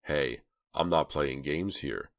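A man voicing a big, cold, menacing male killer in a storybook reading, speaking low and slow. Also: gravelly, fairly bright tone, no echo, heavily acted.

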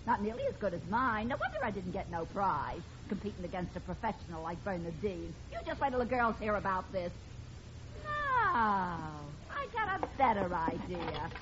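A woman's voice: medium pitch, very animated, normal speed, natural voice. A woman playing a bossy, suspicious working-class housewife in 1950s radio sitcom style. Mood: indignant. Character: bossy, suspicious, envious working-class housewife who nags her husband. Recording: muffled, hissy old radio broadcast.

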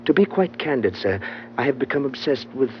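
A man plays an oily gentleman villain of old radio adventure, choosing flowery words with fussy care and courteous little hesitations. His mid-pitched voice comes through a dull, noisy vintage recording. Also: big pitch swings, a little fast, close to an everyday voice. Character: genteel, effete, scheming male underworld trader, unctuously polite. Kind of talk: old-time radio adventure drama acting.

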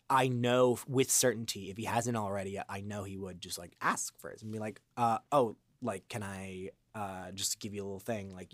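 Male interview guest, voice actor. Fairly deep, dryly recorded, earnest delivery that wanders and stalls. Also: a bit bright, dry recording. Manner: halting, rambling, earnest. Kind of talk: reflective interview talk.

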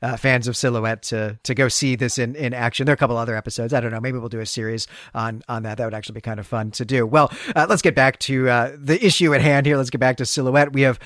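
A man's voice: medium pitch, steady tone, quick pace, few pauses, a bit bright, no room sound. A man hosting a podcast, recapping a story in a conversational, enthusiastic, explanatory way. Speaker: podcast host. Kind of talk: conversational literary recap with read-aloud passages.

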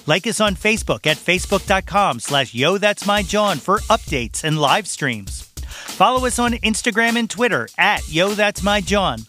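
A man's voice: sits high, bright, forceful tone. A man hosting a podcast, talking in an informal, jokey way. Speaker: podcast host. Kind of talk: casual podcast talk and outro.

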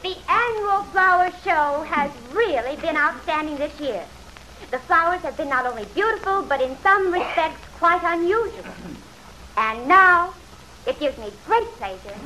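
A woman's voice: very high-pitched, expressive, moderate pace, sharp tone, exaggerated voice, low-quality recording. An actress playing a gushing, fluttery society lady making formal announcements in an old-time radio drama. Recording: vintage radio recording with background noise.

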